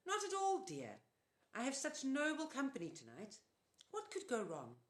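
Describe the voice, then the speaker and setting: A woman's voice: high-pitched, wildly swooping pitch, normal speed, pitched above the performer's own voice. A woman voicing a monstrous old woman disguised as a kindly auntie, speaking in a coaxing, sugary purr. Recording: roomy echo.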